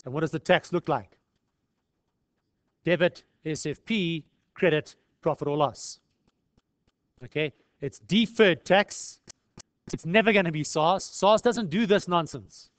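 High-pitched South African man teaching. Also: unhurried, pauses often, no room sound. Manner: conversational, peppered with rhetorical questions and "eh". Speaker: accounting lecturer. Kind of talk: informal tutorial explanation.

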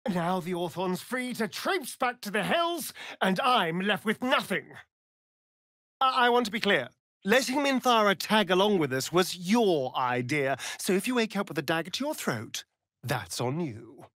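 A man's voice: high-pitched, big pitch swings, a little slow, pushed and bright, no echo, clean studio sound, exaggerated voice. An actor playing a flamboyant, seductive, sardonic male vampire rogue in a video game, with an arch, drawling, mocking delivery. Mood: resentful. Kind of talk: theatrical video game character acting.

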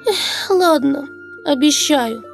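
A woman's voice: sits high, animated, takes it slowly, creaky, faint music behind, full character voice. A woman voicing a sweet, tender-hearted, curious little girl in a children's puppet show, with a naive childlike manner.

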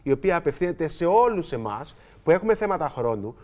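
A man hosting a TV talk show, summing up in a measured way from a studio set on a lapel mic. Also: fairly high pitch, big pitch swings. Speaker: TV host.

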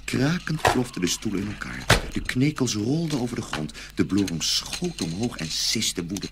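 A man narrating a dark fairy tale in a dramatic storytelling style. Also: fairly low pitch, sing-song, moderate pace, heavy background noise.

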